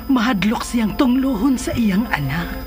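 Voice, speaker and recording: An actress playing an older mother who gently pleads with her grown son in a radio drama, with a Filipino accent. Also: fairly high pitch, lively intonation, a little slow, music in the background. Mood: gently pleading. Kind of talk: Philippine radio drama acting.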